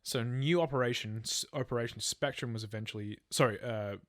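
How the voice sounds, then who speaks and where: A man's voice: mid-range voice, fairly quick, dry recording, studio quality. A man co-hosting a true crime podcast, telling a case from notes in casual language, stumbling and correcting himself. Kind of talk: semi-scripted case narration with casual chat.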